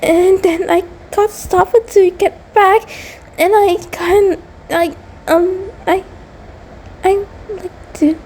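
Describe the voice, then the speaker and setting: A woman's voice: very high-pitched, steady tone, slow pace, darkish tone, a little hiss or hum, exaggerated voice. A woman playing an anxious, affectionate female centipede monster, nervous, stammering and apologetic, in an intimate roleplay audio drama. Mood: worried, tearful.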